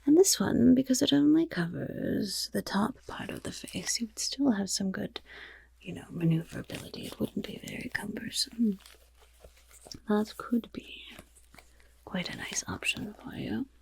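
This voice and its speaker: A woman voicing a friendly female goblin rogue in an ASMR fantasy roleplay, with a breathy, raspy whisper and gently encouraging, genteel phrasing. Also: mid-range voice, expressive, measured pace. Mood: admiring, musing.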